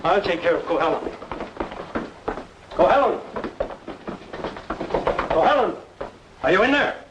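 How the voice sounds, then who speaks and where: An actor playing a bossy, suspicious major who barks brusque orders in an old-time radio drama. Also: male voice, sits high, very animated, measured pace, dull audio. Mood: accusing, commanding. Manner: brusque, imperious, clipped orders. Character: blustering, bossy male army major, suspicious and commanding. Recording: echoey, distant, noisy old radio recording.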